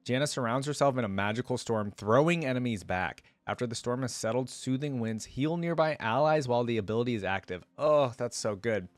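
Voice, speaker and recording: A man with a mid-pitched voice talks like an enthusiastic reaction host, informal and unscripted. He thinks out loud as he comments. The sound is dry, with very little room in it. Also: lively intonation, fairly quick, hardly any pauses, no room sound. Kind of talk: casual reaction commentary with some reading aloud.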